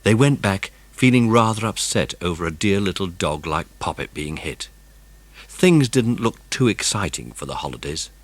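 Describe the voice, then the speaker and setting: Deep-voiced British man narrating a story. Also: expressive, normal speed, no room sound. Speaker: audiobook narrator. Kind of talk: scripted story narration for children.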